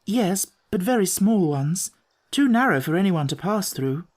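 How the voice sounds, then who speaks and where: A man voicing an anxious, polite young gentlewoman, speaking earnestly in an audiobook story. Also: high-pitched, expressive, unhurried, pitched above the performer's own voice.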